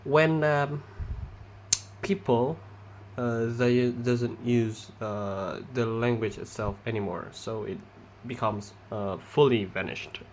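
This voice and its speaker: A young Indonesian man gives a class presentation in English, an Indonesian accent over an ordinary mid-range voice. He speaks very slowly and haltingly, filling gaps with pauses and restarting his sentences. A faint room ambience sits behind him. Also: mid-range voice, a little hiss or hum. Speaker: student presenter.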